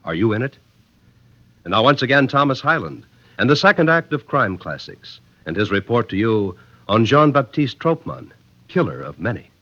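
A man's voice: mid-range voice, big pitch swings, moderate pace, a bit bright, a little hiss or hum. An American man making formal, emphatic scripted announcements in 1950s radio style. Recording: dull vintage radio recording.

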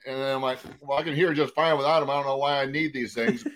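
A man with a loud voice co-hosting a sports podcast at home, folksy and joking at his own expense. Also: medium pitch, steady tone, quick pace. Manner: loud voice, folksy, self-mocking jokes. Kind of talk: casual banter. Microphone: home podcast mic with a foam cover.

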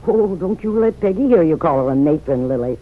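An actress playing a kindly, unruffled middle-aged mother in an old-time radio drama, with a gentle motherly manner. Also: sits low, animated, brisk. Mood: fond. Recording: muffled vintage radio recording.

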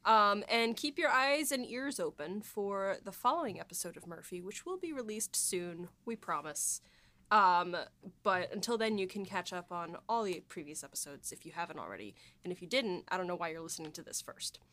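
A woman's voice: fairly low pitch, expressive, moderate pace, pushed and bright, dry recording. A woman hosting a podcast in a casual, chatty and friendly way. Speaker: podcast host.

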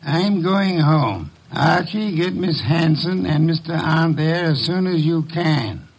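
An actor playing an imperious, dry older master detective in an old radio drama, on a noisy vintage recording. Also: male voice, a little high, slow, breathy edge, full character voice. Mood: commanding. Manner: commanding, dry, clipped.

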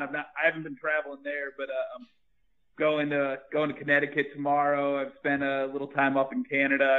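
Relaxed man on a muffled call. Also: medium pitch. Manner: relaxed, many fillers. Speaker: caller, podcast contestant. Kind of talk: casual chat.

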